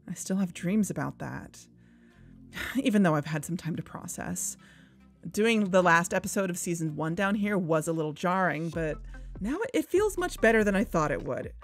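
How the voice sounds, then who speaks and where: A woman's voice: fairly low pitch, natural voice. A woman playing an earnest, candid podcast co-host, speaking in a conversational scripted style. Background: background music.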